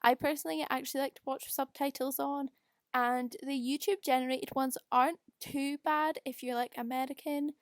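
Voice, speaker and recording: Chatty young Scottish woman, higher-pitched. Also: steady tone, moderate pace, dry recording, studio quality. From Scotland. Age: young adult. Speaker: video creator doing a voice-over. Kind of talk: casual unscripted rambling chat. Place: quiet room at home.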